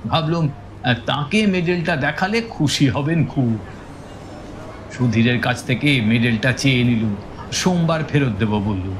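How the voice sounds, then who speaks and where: A man playing a village schoolteacher who recounts his own eerie experience in measured, hesitant audio-story narration. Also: medium pitch, animated, background noise, lightly acted. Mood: reflective. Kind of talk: dramatised first-person audio story narration. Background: music.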